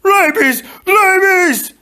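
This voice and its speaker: A man's voice: very high voice, very slow, sharp tone, voice pushed up, exaggerated voice. A man voicing an earnest, stubborn giant with a childlike streak, in a storybook reading. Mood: terrified. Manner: panicked screaming when afraid.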